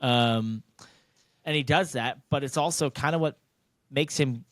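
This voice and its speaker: Emphatic American man, higher-voiced, close dry sound. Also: a little slow, dry recording. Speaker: podcast host, racing driver. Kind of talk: casual sports podcast discussion.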